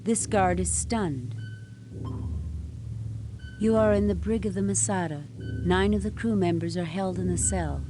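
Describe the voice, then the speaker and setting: A woman reading scripted descriptive narration for a video game in a measured, matter-of-fact way. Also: mid-range voice, over music. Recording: noisy old CD-ROM game audio.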